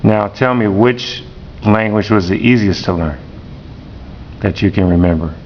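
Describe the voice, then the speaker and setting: A man, a teacher, interviewing a student slowly and repeating each answer back. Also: a little mellow. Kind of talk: classroom interview. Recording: dull lo-fi recording with rumble.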